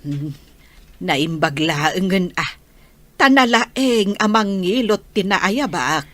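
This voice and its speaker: Woman acting as a slow-talking elderly grandmother. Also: lowish voice, animated, fairly bright tone, no room sound. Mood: gentle, advising. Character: gentle elderly grandmother advising her granddaughter. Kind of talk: audio drama acting.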